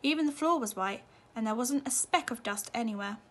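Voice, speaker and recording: A woman's voice: on the high side, expressive, a little fast, fairly bright tone. A woman narrating a children's storybook expressively, as a teacher reading at bedtime.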